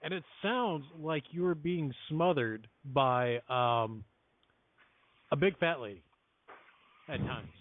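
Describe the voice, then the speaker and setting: A man's voice: fairly high pitch, lively intonation, takes it slowly, long pauses, no room sound. A man co-hosting a crude comedy chat, teasing the others with insulting jokes. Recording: phone line with faint noise.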